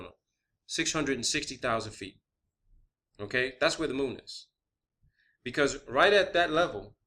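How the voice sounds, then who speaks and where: A man's voice: mid-range voice, pushed and bright. A man giving an informal opinion monologue as a video host, emphatic and combative, often swearing. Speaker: video host presenting own theory.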